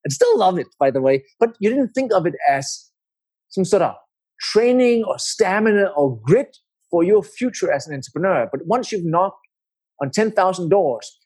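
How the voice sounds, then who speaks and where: A middle-aged man with a Danish accent, telling his story as an interview guest in measured, explanatory phrases. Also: sits high, animated, darkish tone, husky. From Denmark.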